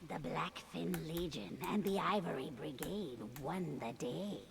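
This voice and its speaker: A woman's voice: deep voice, measured pace, vocal fry, crowd noise. A woman voicing a gossiping, teasing townswoman in a video game. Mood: gossipy, dry. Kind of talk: video game character acting.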